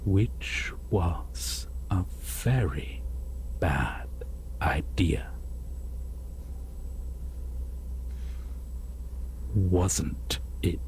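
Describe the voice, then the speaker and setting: Obsessive lovesick prince played by a male actor, low breathy voice, very slow halting bedtime-story delivery for audio roleplay. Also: expressive, slight background noise, exaggerated voice. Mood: regretful menace. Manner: halting, hushed, wistful, with heavy pauses. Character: obsessive lovesick yandere prince, a captor telling a bedtime fairytale.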